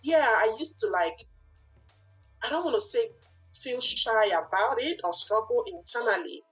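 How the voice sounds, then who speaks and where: A woman from Nigeria with a Nigerian accent, whose first language is not English, answering interview questions and often pausing and restarting to find her words. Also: on the high side, animated, a bit bright, breathy edge, dull audio, soft background music. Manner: searching for words, frequent fillers and restarts. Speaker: guest, lawyer and business owner.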